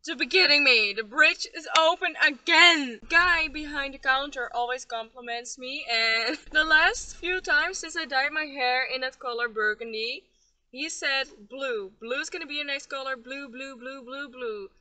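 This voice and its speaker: A woman's voice: high-pitched, unhurried, sharp tone. A young woman vlogging while she drives, chatting casually to a dashboard camera inside her car. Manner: chatty, singing along to songs. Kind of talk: casual chat while driving. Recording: music over the voice inside a car. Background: music playing.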